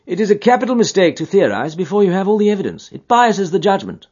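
A man reading aloud voices a sharp, aloof male detective, quick and superior. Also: sits high, sing-song, slightly rough, voice pushed up, full character voice. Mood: brisk. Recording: dull lo-fi recording.

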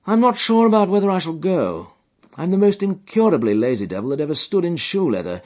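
A man reading aloud voices a sharp, aloof male detective, quick and superior. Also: on the high side, big pitch swings, pitched above the performer's own voice. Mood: languid. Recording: dull lo-fi recording.

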